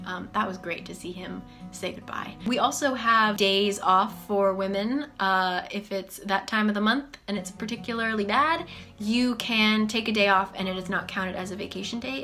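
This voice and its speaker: Vlogger, woman, 20s, from the US; bright tone, animated casual delivery, soft background music. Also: mid-range voice. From United States. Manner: chatty, lots of "like" and "um", animated. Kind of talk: casual Q&A chat to camera. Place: small bedroom office, indoors.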